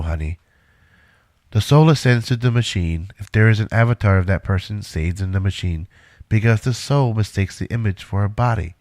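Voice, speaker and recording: An actor playing a controlling, self-assured middle-aged inventor in an audio drama, speaking in a measured, instructive way. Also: male voice, on the low side, darkish tone, dry recording, studio quality, lightly acted.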